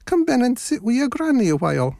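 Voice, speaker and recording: Squeaky Scottish wolf playing granny. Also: female voice, sing-song, brisk, creaky, mellow, no echo, clean studio sound. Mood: wheedling. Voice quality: squeaky falsetto. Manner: wheedling, honeyed. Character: wolf posing as a frail, sweet old granny. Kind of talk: children's storybook character voice.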